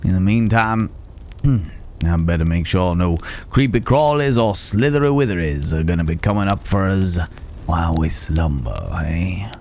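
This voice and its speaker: Man playing a deep-voiced, caring cowboy stranger. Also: a little hiss or hum. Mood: calm, reassuring. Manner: unhurried, folksy, hesitant pauses, gently teasing. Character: gruff but caring male cowboy stranger, calm and protective. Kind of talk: immersive ASMR roleplay acting. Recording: outdoor night ambience with chirping crickets.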